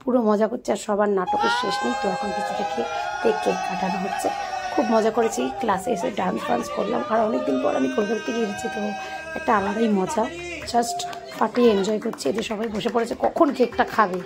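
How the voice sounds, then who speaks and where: Gravelly-voiced woman, chatty vlogger. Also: fairly high pitch, steady tone, fast talker. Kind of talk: casual vlog narration over clips. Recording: clearly noisy recording with background ambience.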